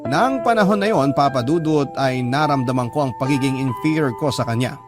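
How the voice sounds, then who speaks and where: A man's voice: medium pitch, brisk, lightly acted. A man playing a hurt, resentful young student who loves dancing, narrating in the first person for a radio drama. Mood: hurt, reflective. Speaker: narrator reading a letter-writer's story. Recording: clear music under the voice.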